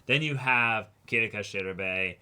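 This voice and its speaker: A man's voice: on the low side, moderate pace, bright, forceful tone, clean tone. A man co-hosting a casual, emphatic discussion of a show, talking animatedly and swearing freely. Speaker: co-host of an anime reaction discussion show.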